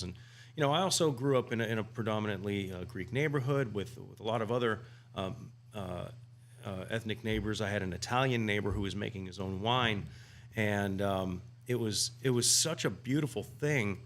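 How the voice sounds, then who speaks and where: A man's voice: lowish voice, normal speed, a little hiss or hum. A man talking casually on a podcast as a guest, rambling with many restarts and fillers. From United States. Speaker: guest homebrewer.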